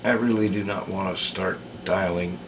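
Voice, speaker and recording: A man giving unscripted workbench commentary as a repair hobbyist, hesitant and halting as he thinks aloud. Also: deep voice, steady tone. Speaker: radio repair hobbyist demonstrating work. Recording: clear background noise.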